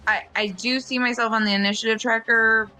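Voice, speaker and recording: A woman's voice: fairly high pitch, fairly even intonation, fairly quick, bright, forceful tone, soft background music. A woman chatting casually as a player in a tabletop game. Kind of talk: casual chat.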